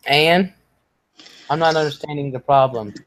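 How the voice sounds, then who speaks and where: A woman's voice: low voice, moderate pace. A woman hosting a livestream in casual chat, chatty and laughing, with many fillers and free swearing. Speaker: livestream host.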